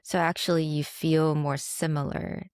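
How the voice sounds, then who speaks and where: A woman hosts a casual interview in a very low, gravelly voice. She affirms her guest and asks open questions. The recording is close and dry. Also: little pitch movement, a little slow, a little mellow, no echo. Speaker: host.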